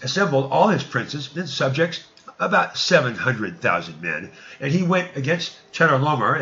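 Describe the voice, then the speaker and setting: A man reading archaic scripture narrative aloud in an emphatic, declamatory and sometimes halting way. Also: mid-range voice, bright, forceful tone, highs cut off.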